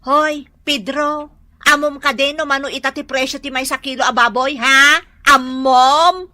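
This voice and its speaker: A bossy, scolding Ilocano wife in a radio comedy. Her voice is high and forceful, with emphatic, domineering delivery in an Ilocano accent. The sound is close and dry. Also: fairly even intonation, a little slow, no room sound. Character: bossy nagging thrifty wife, scolding and sharp-tongued.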